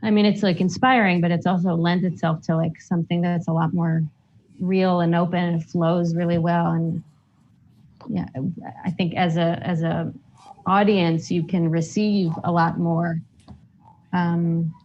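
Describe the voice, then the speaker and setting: A woman from Israel thinking aloud haltingly in a casual group discussion over a video call. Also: fairly low pitch, flat delivery, a little mellow. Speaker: workshop participant. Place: indoor room, video call. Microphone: computer mic on video call. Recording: muffled, noisy video call audio.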